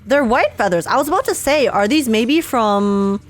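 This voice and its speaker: A woman giving casual live reaction commentary on a game, giggly and rambling as she thinks out loud. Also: on the high side, lively intonation, normal speed, soft background music. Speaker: streamer reacting to a game story.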